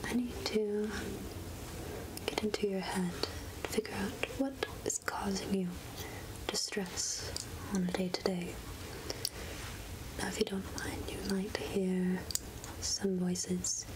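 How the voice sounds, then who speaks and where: A woman playing a mysterious, kindly witch healer in a whispered, breathy ASMR roleplay, coaxing and murmuring to herself. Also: mid-range voice, flat delivery, natural voice, low-quality recording. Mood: soothing, focused. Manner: slow, hushed, coaxing, with murmured asides.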